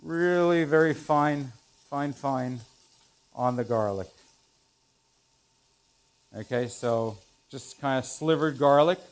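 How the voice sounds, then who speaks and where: A man in his forties, a chef instructor, explaining each step in a relaxed way as he cooks in a large teaching kitchen. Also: mid-range voice, takes it slowly, pauses often. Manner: relaxed, explanatory, thinking aloud while working. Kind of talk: cooking demonstration. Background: food sizzling in a pan.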